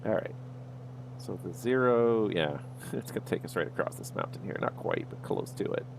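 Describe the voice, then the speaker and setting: A man with a low voice and a gravelly edge to it. He is streaming a flight sim and thinks aloud in an easygoing way. Faint noise sits under the voice. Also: lively intonation, moderate pace. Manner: easygoing, talks himself through each step. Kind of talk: livestream commentary, thinking aloud.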